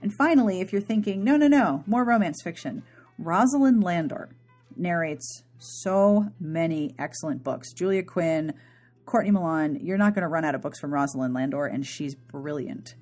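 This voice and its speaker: Female podcast host, lower register with some rasp, informal, enthusiastic delivery, playful asides. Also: animated, moderate pace, darkish tone, faint music behind. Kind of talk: chatty podcast intro and sponsor read.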